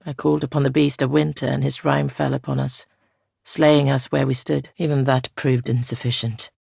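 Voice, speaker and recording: Soft, creaky ancient spirit guardian. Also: female voice, very deep voice, little pitch movement, no room sound, studio quality, heavily acted. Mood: grave, mournful. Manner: solemn, measured, archaic formal phrasing. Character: ancient female spirit guardian, solemn, weary, formal. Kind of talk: video game character dialogue.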